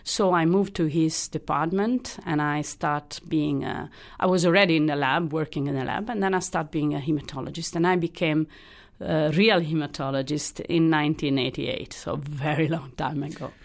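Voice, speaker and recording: Gravelly low-voiced Italian woman, thoughtful. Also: fairly even intonation. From Italy. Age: middle-aged. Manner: thoughtful, pausing with frequent uh fillers. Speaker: hematologist guest. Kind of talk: radio interview conversation.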